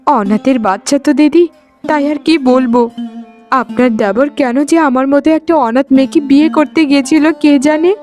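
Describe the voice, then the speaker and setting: A woman's voice: high voice, fairly quick, darkish tone, close to an everyday voice. A woman voicing a meek, wounded young orphaned wife in a cartoon, plaintive and tearful in a melodramatic style. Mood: hurt, tearful. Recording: music behind the voice. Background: music.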